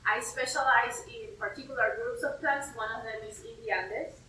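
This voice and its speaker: In a very reverberant room, a woman from Peru talks a little away from the mic. Her voice is on the high side and clearly bright. She explains her field informally, like a curator walking a visitor through it in simple terms. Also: moderate pace. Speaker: university herbarium curator and plant taxonomist. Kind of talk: informal explanatory interview for an online video. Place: indoor herbarium room. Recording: echoey room, distant mic, faint noise.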